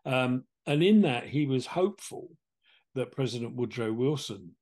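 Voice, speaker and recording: A man with an English accent speaks in a reflective, measured manner. He sounds like a podcast co-host setting out his thinking in open conversation. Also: medium pitch, expressive, a little mellow. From England. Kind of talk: conversational discussion.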